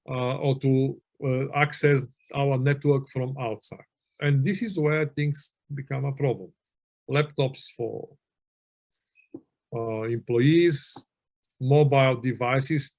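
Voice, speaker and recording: A middle-aged man from Bulgaria giving a webinar lecture, telling anecdotes in a conversational way with many fillers and restarts. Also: medium pitch. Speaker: webinar presenter on auditing.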